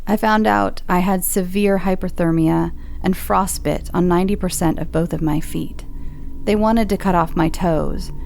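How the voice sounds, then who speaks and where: Low, gravelly woman reading stories. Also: fairly even intonation, moderate pace, a little mellow, a little hiss or hum. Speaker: podcast host. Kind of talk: reading listener horror stories aloud.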